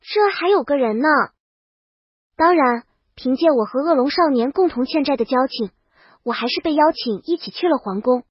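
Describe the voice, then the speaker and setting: A woman's voice: high voice, expressive, normal speed, no room sound, lo-fi sound. A woman reading a scripted first-person comic story as a princess, with dramatic, wry delivery. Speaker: narrator.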